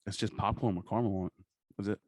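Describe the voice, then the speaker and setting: A man's voice: fairly low pitch, steady tone, quick pace, darkish tone, no room sound, clean studio sound. An American man co-hosting a podcast in casual, comedic chat, rambling along with plenty of swearing and slang. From New Jersey, USA. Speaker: podcast co-host.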